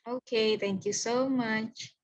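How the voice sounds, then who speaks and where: Higher-voiced woman presenting slides. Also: fairly even intonation, slow, dull audio. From Indonesia. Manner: earnest, welcoming, talks through slides step by step. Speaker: club officer presenting. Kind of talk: online club presentation. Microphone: video call.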